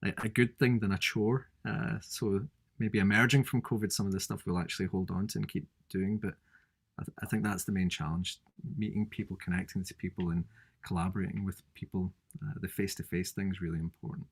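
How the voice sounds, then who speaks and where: A man, a design researcher, giving a thoughtful, halting answer on a remote panel call, often restarting his sentences. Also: sits low, fairly even intonation, vocal fry, slightly rough.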